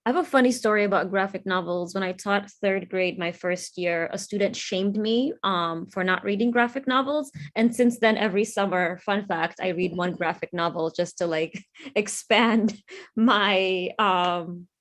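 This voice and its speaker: An educator woman chats in a relaxed, candid way on a video call, sprinkling her talk with fillers. Her voice is mid-pitched and ordinary. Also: moderate pace. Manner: easygoing, candid, frequent fillers. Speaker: educator, co-host. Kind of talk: casual panel chat.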